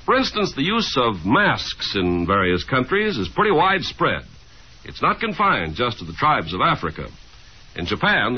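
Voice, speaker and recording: American male announcer, 1950s radio delivery, hugely animated pitch, dull old recording. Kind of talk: scripted 1950s radio narration. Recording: dull, faintly noisy 1950s radio broadcast.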